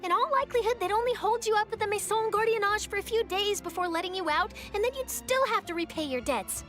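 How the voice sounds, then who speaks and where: A woman's voice: extremely high pitch, expressive, quick pace, a bit bright, faint music behind. A woman voicing an eager, earnest and articulate young female journalist in a video game. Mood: alarmed. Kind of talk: English video game voice acting.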